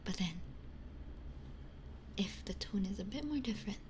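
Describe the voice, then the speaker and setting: A woman whispering softly and unhurriedly in casual ASMR chat, musing in short phrases close to a binaural microphone. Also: medium pitch, animated, normal speed. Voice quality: breathy whisper. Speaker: ASMR livestreamer. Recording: close-miked with faint background noise.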